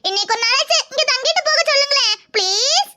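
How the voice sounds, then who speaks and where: A woman's voice: very high-pitched, expressive, fast. A woman voicing a cheeky, stubborn young cartoon girl with an insistent, childlike manner and a southern Tamil Nadu accent. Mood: pleading. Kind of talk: cartoon character dialogue. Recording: very loud background noise.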